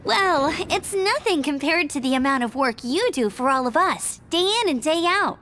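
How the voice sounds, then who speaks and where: Perky high-voiced young scout. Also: female voice, lively intonation, normal speed, sharp tone. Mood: perky, friendly. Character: perky, friendly, energetic young female scout. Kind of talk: video game voice acting.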